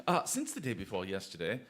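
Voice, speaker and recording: An actor playing a brilliant, playfully self-assured English gentleman detective in a radio drama, speaking in eloquent, exclamatory flourishes. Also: on the low side, background noise. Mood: brisk.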